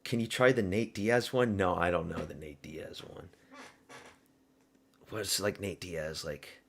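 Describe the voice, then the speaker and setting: A man's voice: low-pitched, measured pace, gravelly. A man from the American South hosting a fight watch party stream, talking loosely and profanely with his viewers. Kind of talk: casual live-stream commentary.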